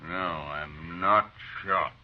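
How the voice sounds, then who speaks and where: An actor playing a drunk, proud and stubborn ranch owner in a 1950s radio western, blunt and heavy in delivery. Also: male voice, low-pitched, steady tone, very slow. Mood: drunk, insistent. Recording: muffled, noisy 1950s radio recording.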